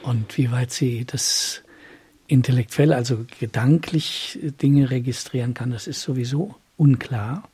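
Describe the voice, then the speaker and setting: An actor playing an earnest, troubled older gentleman in a conversational radio drama, speaking haltingly and formally. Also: mid-range voice, slightly rough.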